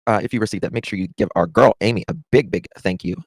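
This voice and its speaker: A man giving casual livestream commentary as host, chatty and halting, repeating himself for emphasis. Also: fairly low pitch, vocal fry, no room sound, studio quality. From Southern United States.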